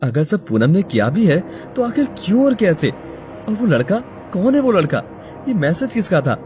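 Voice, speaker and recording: An actor playing a troubled, jealous young husband in an audio story drama, earnest and confused. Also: male voice, high voice, big pitch swings, moderate pace, mellow, soft background music. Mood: agitated, brooding. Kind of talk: acted Hindi audio story dialogue.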